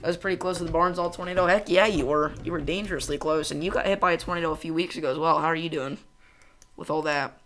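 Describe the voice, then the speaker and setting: Bright-voiced young man chatting on a livestream. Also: a little high, a little hiss or hum. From central Illinois, US. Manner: chatty, goes on tangents, talks fast and excitedly. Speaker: weather livestream host. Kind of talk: casual livestream commentary, reading chat.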